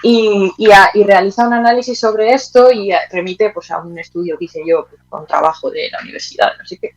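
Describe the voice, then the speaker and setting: A woman's voice: medium pitch, fairly even intonation, brisk, highs cut off. A woman with an accent from Spain answers interview questions in a reflective, conversational way, searching for her words and adding little tag questions. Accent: Spanish from Spain. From Teruel, Aragon, Spain. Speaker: interview guest, playwright.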